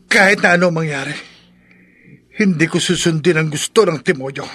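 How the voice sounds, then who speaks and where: An anguished young hero, high and husky. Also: male voice, moderate pace, a bit bright. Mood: resolute, grieving. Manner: impassioned, pleading, respectful to elders. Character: anguished, defiant young son who opposes the demon. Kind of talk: Filipino horror radio drama acting.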